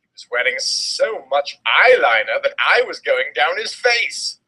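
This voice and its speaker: A man's voice: fairly high pitch, sing-song, unhurried, sharp tone, breathy edge, low-quality recording. A man giving a dramatic, comedic reading of a story with theatrical, exaggerated emphasis. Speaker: reader of fan fiction.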